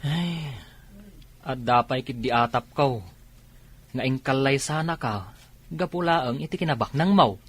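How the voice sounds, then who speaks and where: A man's voice: medium pitch, a little slow, long pauses, breathy edge. A man with an Ilocano accent playing a deferential, curious, sympathetic driver in an audio drama. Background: music.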